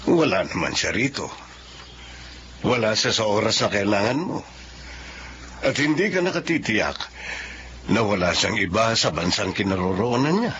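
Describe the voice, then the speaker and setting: An actor playing a scheming, lecherous middle-aged male boss in a radio drama, slow and smug with low chuckles. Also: sing-song, fairly bright tone. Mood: menacing. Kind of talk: Filipino radio drama acting. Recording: dull lo-fi audio with faint hiss.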